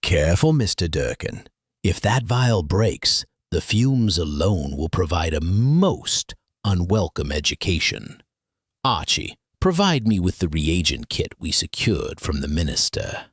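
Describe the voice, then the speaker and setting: Deep, husky, pompous man acting a master detective. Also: big pitch swings, no echo, clean studio sound, heavily acted. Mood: stern, precise. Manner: formal, ornate, deliberate diction. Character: pompous, imperious male master detective, coolly precise and lecturing. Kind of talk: scripted mystery audio drama.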